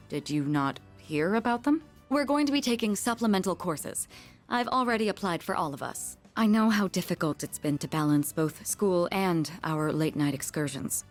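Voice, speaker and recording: An actress playing a composed, studious student leader in a video game, formal and measured. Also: female voice, fairly low pitch.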